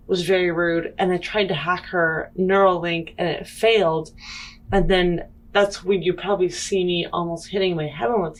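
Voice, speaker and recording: A woman playing a tabletop roleplaying game, speaking in character in a deliberate, earnest, questioning way. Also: lowish voice, slight background noise, rough recording.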